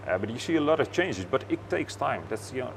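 A man's voice: mid-range voice, big pitch swings, fast talker, creaky, a little hiss or hum. A middle-aged man with a Dutch accent, a native Dutch speaker, giving a factory tour and answering questions with many fillers and restarts. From Netherlands. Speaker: company executive. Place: warehouse then glass-walled office.

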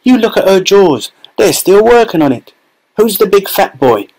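A nosy onlooker from a storybook crowd, as a man puts him on. The voice rides high and loud, calling out gossip with relish. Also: big pitch swings, moderate pace, exaggerated voice, rough recording. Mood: excited. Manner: shouting, gossipy.

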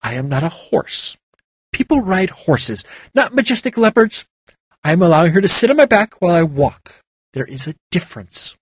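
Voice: medium pitch, very animated, normal speed, husky, no room sound, clean studio sound, voice pushed up. A narrator voicing a proud female legendary snow leopard who speaks in a stern, scornful growl. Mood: indignant growl.

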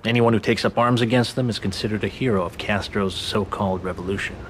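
Man acting a low-voiced calculating spy. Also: fast, close to an everyday voice. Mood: matter-of-fact. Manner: matter-of-fact, confident. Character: smooth-talking calculating intelligence agent laying out scheme. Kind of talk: video game cutscene acting. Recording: faint rumbling background noise.

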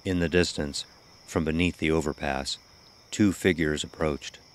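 Male narrator for scripted horror fiction, exceptionally deep register, backed by music. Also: fairly even intonation, moderate pace.

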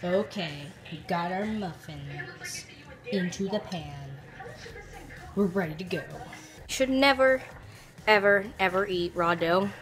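A woman's voice: fairly low pitch, slow pace. A young woman chatting casually through a home baking video, filling her talk with "like" and "you know". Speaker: cooking vlogger. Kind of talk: casual home cooking tutorial. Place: home kitchen, indoors. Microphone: phone or camera at arm's length. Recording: music playing underneath.

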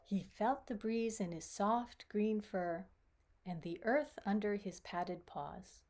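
A middle-aged woman reading a children's picture book aloud in a deliberate, expressive storytelling manner. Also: mid-range voice. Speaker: author reading her own children's book. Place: small room at home with bookshelves.

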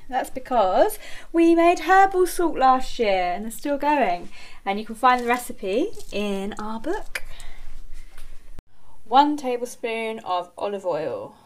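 Slow, cheerful young woman giving recipe steps. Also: fairly high pitch. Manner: slow, cheerful, measured instructions. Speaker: vlogger cooking at home. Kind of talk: step-by-step recipe narration. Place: home kitchen.

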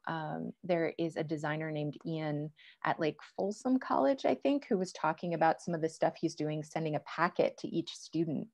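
A woman's voice: sits low, darkish tone, no echo. A woman in her thirties or forties talking informally as a panelist on a video call. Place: home office, video call.

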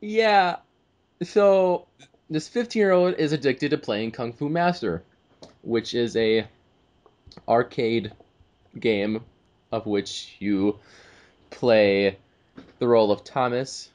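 A man with a mid-pitched voice talks as a podcast co-host. He is chatty and informal, phrasing things loosely as in casual conversation. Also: slow, long pauses, a little mellow. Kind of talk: casual film and game discussion.

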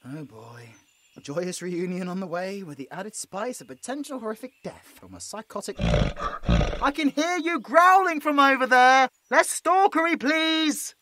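A man's voice: extremely high pitch, wildly swooping pitch, unhurried, pushed and bright, a little hiss or hum, full character voice. A British man playing a nervous, squeamish young man who pleads and stammers his way through a roleplay audio drama. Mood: weary, resigned, then exasperated. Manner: stammering, flustered, exasperated.

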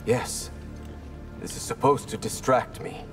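An actor playing a sober, wary ninja in a video game, with terse, serious lines. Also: male voice, mid-range voice, unhurried, a bit bright, soft background music, lightly acted. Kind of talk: dramatic video game dialogue.

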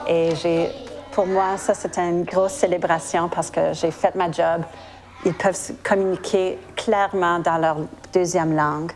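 Canadian french teacher lady, pretty flat lowish voice, talking in an interview. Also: unhurried. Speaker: young children's teacher. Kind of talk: documentary interview.

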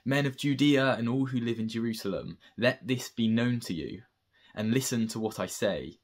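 A man's voice: mid-range voice, normal speed, husky. A young man reading a Bible passage aloud, recorded at arm's length in a room at home. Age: young adult. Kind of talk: scripture reading. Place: bright room at home by a window.

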